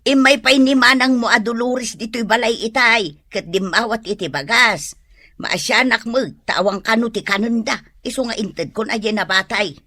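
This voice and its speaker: A woman's voice: a little high, moderate pace, pushed and bright, no echo. An actress playing a plaintive, nagging elderly mother with an Ilocano Filipino accent in a radio drama.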